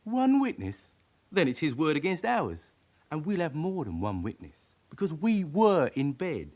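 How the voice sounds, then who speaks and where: A surly London tearaway of twenty-one, cocky and insolent. His voice sits fairly high and swings expressively as he argues back. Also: huge pitch swings, measured pace, a little mellow, exaggerated voice. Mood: cocky, dismissive. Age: young adult. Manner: defiant, insolent, argumentative. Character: cocky, surly 21-year-old London criminal. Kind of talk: radio drama acting.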